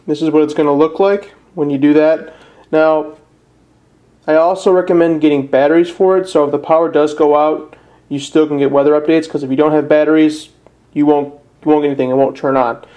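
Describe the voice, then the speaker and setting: Flat-toned young Midwestern American man. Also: fairly high pitch, fairly quick. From northern Illinois, USA. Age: young adult. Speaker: amateur how-to presenter. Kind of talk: casual step-by-step tutorial. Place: indoors at a desk.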